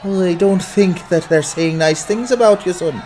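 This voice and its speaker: A man voicing a brash, wry sidekick in a fantasy audiobook, speaking in an animated, urgent way. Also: sits high, unhurried, slight background noise. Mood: wry warning. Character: brash, cheeky male sidekick urging on a young adventurer. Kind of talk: dramatized fantasy audiobook acting.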